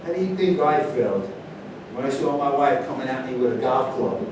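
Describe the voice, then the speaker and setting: Stage reading, male actor as reflective, troubled golfer, medium pitch, distant and heavily reverberant. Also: lively intonation, normal speed, natural voice. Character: troubled, reflective champion golfer with a shattered private life. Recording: distant echoey stage recording, rumbling noise.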